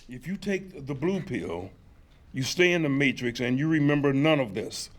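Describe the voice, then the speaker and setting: An actor at a table read playing a grave, cryptic leader of an underground army, speaking with deliberate portent. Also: male voice, medium pitch, expressive, close to an everyday voice. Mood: grave, mysterious. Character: solemn cryptic underground-army leader in sunglasses and leather coat. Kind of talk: live script table read, dramatic. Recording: faint noise, live room table read.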